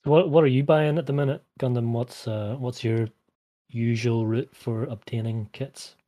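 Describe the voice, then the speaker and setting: A man chats in a mellow, warm tone. He hesitates often and doubles back on his words. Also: medium pitch, steady tone, moderate pace. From Northern Ireland. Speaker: podcast co-host. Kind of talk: casual chat.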